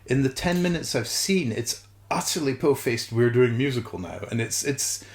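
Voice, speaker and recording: A man's voice: medium pitch, lively intonation, normal speed. A man chatting casually as a podcast co-host, with a wry, wordy and hesitant way of reviewing things.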